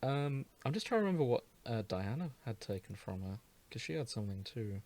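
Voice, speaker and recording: A man's voice: medium pitch, very animated, brisk, darkish tone. A man hosting a casual chat podcast, explaining a story in a storytelling way. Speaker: podcast host.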